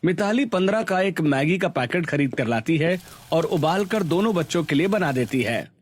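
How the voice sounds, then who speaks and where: Man, narrator, scripted story delivery, higher pitch, background music. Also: expressive, normal speed.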